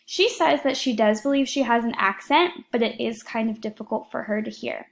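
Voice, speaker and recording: A woman's voice: a little high, normal speed, gravelly. A young woman, an education student, earnestly reading a prepared report for her class, with a few fillers.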